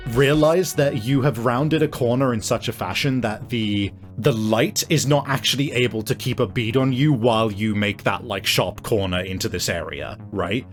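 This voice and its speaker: A man runs a tabletop roleplaying game over clearly audible music. He improvises descriptive, suspenseful narration with a halting delivery, in a mid-pitched voice. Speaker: game master. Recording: music behind the voice.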